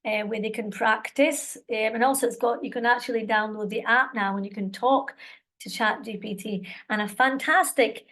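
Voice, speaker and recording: A woman's voice: on the high side, fairly even intonation, moderate pace, a bit bright. A middle-aged Scottish woman presenting a live teacher webinar, chatty and encouraging, checking in often with her audience. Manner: chatty, encouraging, lots of "all right" and "okay" check-ins.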